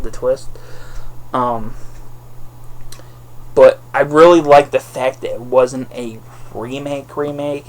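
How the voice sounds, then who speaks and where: A man with a lisp giving a casual, rambling movie review, halting and often correcting himself. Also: medium pitch, slight background noise, low-quality recording. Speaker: vlogger reviewing DVD purchases.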